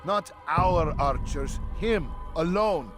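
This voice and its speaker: Loyal henchman, raised male register, insistent and defiant, game cutscene with loud music under. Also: on the high side, animated, slow pace. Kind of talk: video game cutscene acting. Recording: loud music bed behind the voice.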